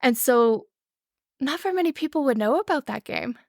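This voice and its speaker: A woman's voice: high-pitched, expressive, normal speed, vocal fry, a little mellow, no echo, clean studio sound. A young woman in casual podcast chat, rambling and enthusiastic with many fillers and restarts. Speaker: podcast guest, actual-play show organizer.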